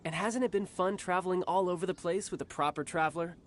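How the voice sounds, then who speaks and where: An actor playing a cocky young male warrior and doting older brother in a game cutscene, smooth and teasing. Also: sits high, quick pace, noisy background, lightly acted.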